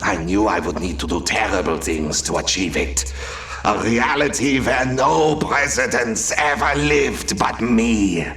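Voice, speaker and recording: An actor playing a bombastic, petty, silly supervillain in a comic video game, with a grandiose, hammy delivery. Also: male voice, lowish voice, measured pace, fairly bright tone. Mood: triumphant gloating. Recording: echoey, with music behind. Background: game music.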